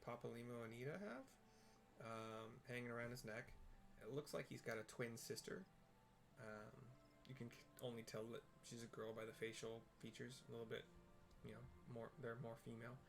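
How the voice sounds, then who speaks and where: A man's voice: mid-range voice, gravelly, fairly bright tone, slight background noise. A young man playing a video game and describing the scenes aloud for blind viewers, hesitant with many fillers and restarts. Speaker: gamer and audio describer. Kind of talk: casual let's-play with audio description.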